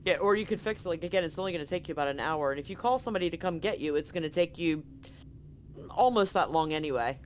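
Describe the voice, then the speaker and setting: A woman's voice: fairly low pitch, fast talker. A woman narrating a tabletop game as game master in a casual, blunt, conversational way. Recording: noticeable background noise on live stream.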